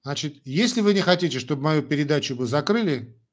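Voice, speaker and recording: A man's voice: on the high side, lively intonation, quick pace. An older man from Armenia, a doctor answering viewers' questions on a live stream in a blunt, chatty way, with many fillers. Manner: blunt, talks in short emphatic phrases with many fillers.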